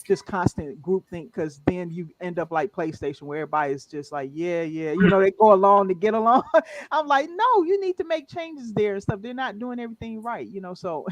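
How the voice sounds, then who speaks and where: A man's voice: sits high, lively intonation, a little fast, muffled recording. An American man co-hosting a casual livestream chat, chatty and earnest, greeting viewers in the chat. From United States. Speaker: co-host of a gaming podcast.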